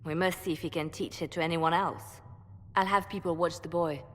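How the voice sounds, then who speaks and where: Woman acting shrewd low-voiced adviser, reverberant. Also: steady tone, lightly acted. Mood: composed. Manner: measured, reproving, formal. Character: shrewd, composed female spymaster adviser. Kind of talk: video game cutscene acting.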